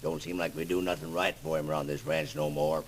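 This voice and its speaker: An actor playing a grumbling, folksy cowhand with a gravelly voice and an in an old radio drama. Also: male voice, low-pitched, big pitch swings, a little fast, full character voice. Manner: folksy, complaining. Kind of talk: 1930s radio western acting. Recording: noisy old radio recording.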